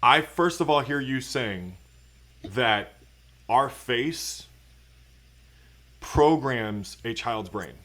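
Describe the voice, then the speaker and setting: A man hosts the conversation, speaking slowly and deliberately as he restates what his guest has said. His pitch is ordinary for a man, and he takes his time with each phrase. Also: lively intonation, a bit bright. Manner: measured, restates the guest's points. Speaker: host. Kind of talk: conversational interview.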